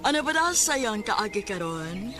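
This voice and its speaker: A woman's voice: medium pitch, very animated, measured pace. A woman with a Cebuano Filipino accent reading a scripted introduction as a radio drama announcer. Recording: studio voice over faint music.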